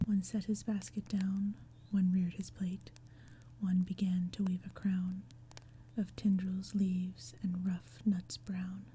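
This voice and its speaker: A woman reading poetry as ASMR in a breathy whisper, slowly and gently, with measured phrasing. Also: mid-range voice. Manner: slow, gentle, measured storytelling. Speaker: ASMR reader. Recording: steady background ambience, crackling fire, forest sounds. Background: crackling fire and forest sounds.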